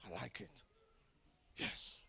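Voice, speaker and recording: A man with a Ghanaian accent preaching a sermon as pastor, telling stories with humor and swinging from shouts to hushed asides. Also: slow pace. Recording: long hall reverb.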